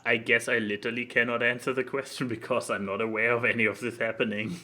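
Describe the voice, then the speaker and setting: Very bright, sharp-voiced man, hesitant. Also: mid-range voice, little pitch movement, quick pace. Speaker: podcast co-host. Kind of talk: casual podcast chat.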